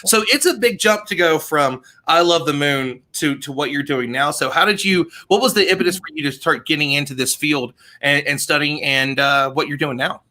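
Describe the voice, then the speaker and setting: A man's voice: fairly high pitch, moderate pace, few pauses, sharp tone. A man in his thirties hosting a casual live-stream interview in an enthusiastic, effusive way. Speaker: show host, interviewer. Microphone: desk microphone, headset, on a video call.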